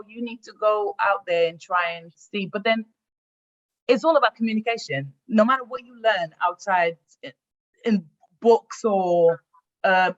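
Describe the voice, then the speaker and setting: A man's voice: high voice, fairly bright tone. A man speaking on a panel discussion in an emphatic, earnest way. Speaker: panel guest. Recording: dull lo-fi audio.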